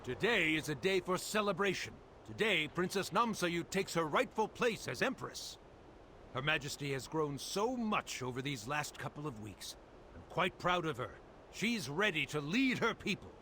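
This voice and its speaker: Courtly game advisor, avuncular, slightly pompous, bright animated voice over faint rumble. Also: male voice, moderate pace. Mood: proud, genial. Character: proud courtly male royal advisor, genial and fussy. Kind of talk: video game cutscene acting.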